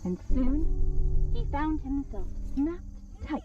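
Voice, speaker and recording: A woman narrating a dark children's fable in a storybook style for a horror video. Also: high-pitched, lively intonation, slow. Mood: slow, ominous. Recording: muffled, distant horror video audio with music. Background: clear music.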